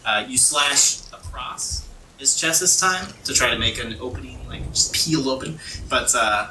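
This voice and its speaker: A man's voice: mid-range voice, expressive, moderate pace, pushed and bright, slight background noise. A man acting as game master, improvising vivid blow-by-blow narration of a tabletop game in casual language. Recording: roomy, distant sound with music behind. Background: background music.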